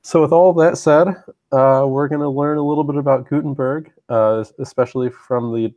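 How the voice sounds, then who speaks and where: A man with a slight cold giving a live-streamed web training from his own room, halting with frequent ums and throat clearing. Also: medium pitch, steady tone, darkish tone, dry recording, muffled recording. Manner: halting, many ums and uhs, clears throat often, self-deprecating. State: stuffy, getting over a cold. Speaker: university web team presenter. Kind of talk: live-streamed webinar presentation.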